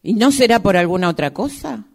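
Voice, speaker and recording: A woman acting as a weary, aggrieved seamstress who protests haltingly to her boss in an improvised radio drama. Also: medium pitch, animated, creaky. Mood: indignant.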